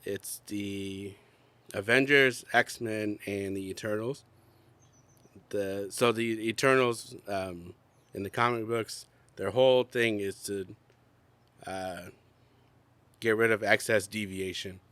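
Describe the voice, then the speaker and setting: Young man, low voice, congested and coughing, relaxed podcast co-host. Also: slow, long pauses, no room sound. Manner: chatty, rambling with many fillers and laughs. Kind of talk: casual chat. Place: outdoors.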